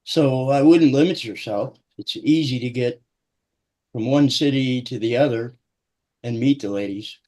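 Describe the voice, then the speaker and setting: A man's voice: mid-range voice, measured pace, dark tone. A man co-hosting a casual live stream with his wife, answering viewer questions with dry self-deprecating humor. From United States. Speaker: co-host, host's husband.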